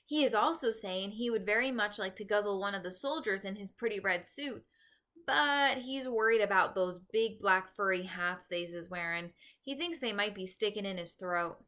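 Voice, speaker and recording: Stubborn gentle giant played by a woman, bright tone, folksy garbled grammar, pessimistic, storybook read-aloud. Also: mid-range voice, normal speed, close to an everyday voice. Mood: matter-of-fact.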